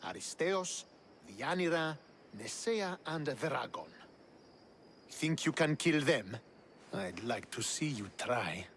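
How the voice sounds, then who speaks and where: An actor playing a bitter, hostile Spartan commander who resents the hero. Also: male voice, a little high, very animated, slow pace, pauses often, a bit bright, a little hiss or hum, full character voice. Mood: scornful. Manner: scornful, resentful. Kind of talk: video game cutscene acting.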